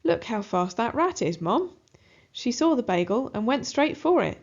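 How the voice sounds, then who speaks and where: A woman's voice: fairly high pitch, very animated, normal speed, a little mellow, muffled recording. A woman voicing a naive, kind and curious little boy in a children's storybook. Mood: fascinated.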